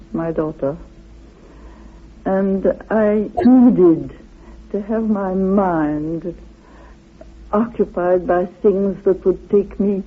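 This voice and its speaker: An older man with a strikingly high, husky voice tells his story as an interviewed author. He is deliberate and emphatic, and the recording is an old, narrow-band radio interview.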